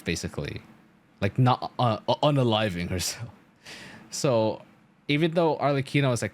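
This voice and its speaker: Male game streamer: slightly low voice, casual, halting, working through thoughts aloud. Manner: halting, rambling, thinking aloud with repeated "like". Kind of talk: casual live-stream commentary.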